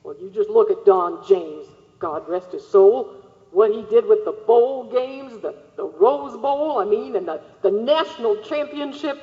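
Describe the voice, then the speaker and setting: A man's voice: high-pitched, animated, measured pace. A man playing an opinionated, aggrieved woman calling in to a sports radio show in a radio drama. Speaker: caller. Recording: dull lo-fi sound, long hall reverb.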